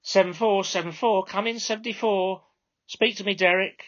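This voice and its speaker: A man's voice: high-pitched, steady tone, moderate pace, fairly bright tone, highs cut off, voice pushed up. A man voicing a brash, bossy female minicab controller in a story, with insincere courtesy. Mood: brisk. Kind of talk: storybook character dialogue.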